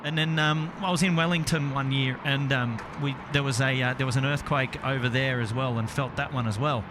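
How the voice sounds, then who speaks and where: A man's voice: medium pitch, steady tone, a little fast, background noise. An Australian man hosting a casual live plane-spotting stream outdoors, talking in a hesitant, rambling way. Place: outdoors near an airport at night.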